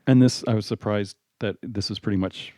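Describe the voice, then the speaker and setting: A man's voice: fairly low pitch, fairly even intonation, fairly quick, dark tone, no echo, studio quality. A man co-hosting a film podcast, talking hesitantly with many fillers and restarts. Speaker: podcast co-host. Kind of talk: casual chat.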